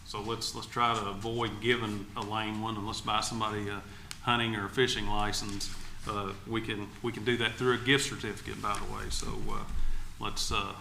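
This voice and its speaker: A man's voice: fairly low pitch, level tone, moderate pace, sharp tone. A man giving enthusiastic remarks as an agency official at a public meeting. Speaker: agency commissioner. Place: indoor public meeting room. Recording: echoey meeting room, faint background noise.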